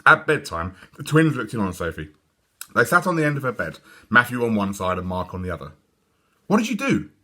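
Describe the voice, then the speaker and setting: Animated British man reading a children's story. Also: fairly low pitch, fairly quick. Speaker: story reader. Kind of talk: expressive children's story narration.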